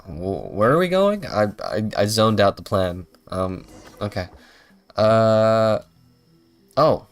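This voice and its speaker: A man's voice: lowish voice, very animated, takes it slowly, soft background music. A man giving casual, playful, bemused livestream commentary as he plays a game. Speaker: game streamer.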